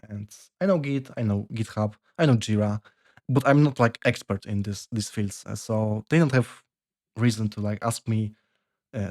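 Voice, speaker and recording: A young man with a Polish accent giving casual career advice, halting and full of fillers and restarts. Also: fairly low pitch, a little mellow. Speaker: software developer vlogger.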